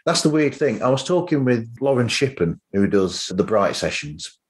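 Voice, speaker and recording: A man hosting a podcast in a casual, conversational way. His voice sits at a middle pitch, and he tends to ramble through anecdotes as he makes his point. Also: big pitch swings, normal speed. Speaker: podcast host.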